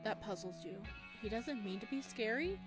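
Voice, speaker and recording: A woman game streamer reading visual novel text aloud with casual, expressive commentary. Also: a little high, moderate pace, music in the background.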